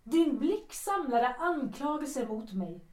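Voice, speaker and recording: A stage actress in her thirties facing her former husband, earnest and reproachful. Her voice is high, with formal, emphatic period-drama phrasing. It sounds like a radio play in a very roomy, echoing interior. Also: big pitch swings, a little mellow. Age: 30s. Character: earnest, reproachful actress ex-wife, 33, seeking forgiveness.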